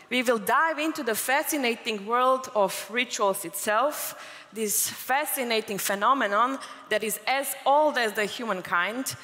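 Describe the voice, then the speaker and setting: Bright-voiced woman giving a conference talk. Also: fairly high pitch. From Croatia. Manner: fluent, explanatory, with occasional fillers. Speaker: conference speaker. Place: large conference hall. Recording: hall reverb from a conference stage.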